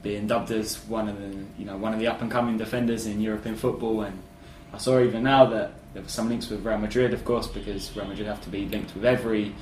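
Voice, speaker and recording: A man co-hosting a casual football podcast, talking in an animated, emphatic way and swearing casually. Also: on the low side, quick pace, few pauses, roomy sound, slight background noise, rough recording. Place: living room.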